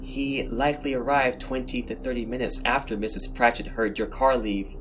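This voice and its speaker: A man voicing a gentle female police detective in an audio horror story, speaking carefully and consolingly while probing. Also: mid-range voice, normal speed, background noise, pitched above the performer's own voice, lightly acted.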